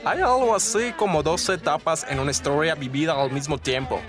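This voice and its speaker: An actor playing a reserved American detective, speaking in a formal, measured and terse way in a radio drama. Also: male voice, fairly high pitch, close to an everyday voice. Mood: musing. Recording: music and crowd murmur behind.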